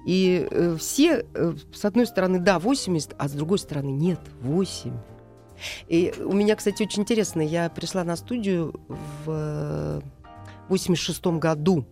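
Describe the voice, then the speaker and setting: A woman's voice: lowish voice, moderate pace, dark tone. Reflective middle-aged woman, music behind. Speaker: guest, animation film director. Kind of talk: thoughtful conversational interview answers.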